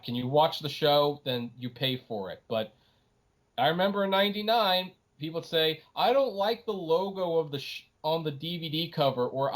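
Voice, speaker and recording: Middle-aged man talking casually, emphatic. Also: fairly high pitch. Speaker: podcast guest, anime writer. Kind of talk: casual opinionated podcast conversation.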